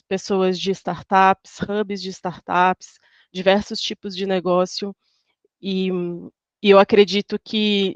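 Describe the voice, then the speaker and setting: A woman's voice: medium pitch, clean tone, no room sound, muffled recording. A woman speaking as a panelist over a video call, in a thoughtful way with many restarts and fillers. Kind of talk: panel talk.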